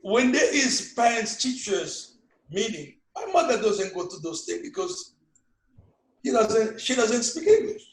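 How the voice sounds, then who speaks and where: Older Haitian man, strong accent, Creole native, lively anecdotes, roomy echo. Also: high-pitched, measured pace. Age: 60s. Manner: animated storytelling, broken English phrasing. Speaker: guest, father. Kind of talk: casual family conversation.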